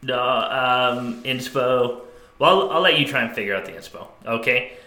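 Podcast co-host, male, bright voice, joking deadpan delivery, echoey room. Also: mid-range voice, normal speed. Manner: joking, laughing, deadpan bits. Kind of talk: casual comedic podcast chat.

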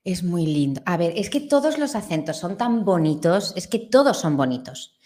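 A woman's voice: on the low side, moderate pace. A woman from Spain, a singing teacher, chatting enthusiastically as a guest with a Spanish accent. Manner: enthusiastic, expressive. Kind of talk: casual interview chat.

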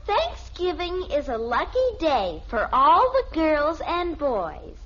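A woman playing an earnest, prim nine-year-old American girl in a 1950s radio sitcom, speaking with deliberate care. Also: high-pitched, huge pitch swings, fairly bright tone, full character voice. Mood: dutiful, reciting. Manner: deliberate schoolroom recital. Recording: muffled, slightly noisy vintage radio recording.

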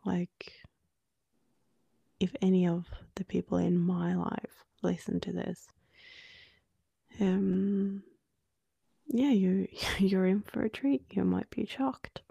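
A woman in her late twenties from Norway, speaking English with a Norwegian accent tinged with Australian, co-hosting a candid podcast and often dropping to a hushed near-whisper. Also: mid-range voice, steady tone, slow pace, creaky, pauses often, husky, no echo, clean studio sound. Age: 20s. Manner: soft-spoken, drifting into hushed near-whispers. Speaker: podcast co-host. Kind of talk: candid conversational podcast chat.

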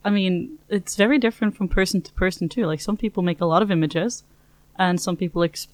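A young woman from Norway, a student whose first language is Norwegian, answering interview questions in a casual, relaxed way. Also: fairly low pitch, brisk, no room sound. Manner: casual, relaxed, laughs easily. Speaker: master's student.

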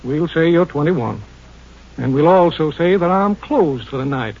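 An actor playing a gruff, folksy old storekeeper in a 1950s radio drama. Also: male voice, on the high side, animated, a little slow, a little hiss or hum. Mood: folksy, wry. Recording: muffled old radio recording.

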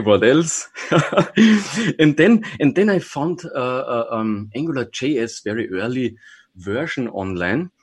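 A man's voice: on the low side, slightly rough. A man, a trainer and consultant, talking casually as a podcast guest and thinking aloud with restarts. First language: German. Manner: relaxed, thinking aloud with restarts and small laughs.

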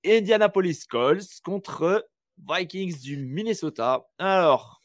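Male co-host, slightly high voice, dry close mic, animated and teasing. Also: no echo. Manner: animated, teasing, asks rapid questions. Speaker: podcast co-host. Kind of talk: casual sports chat.

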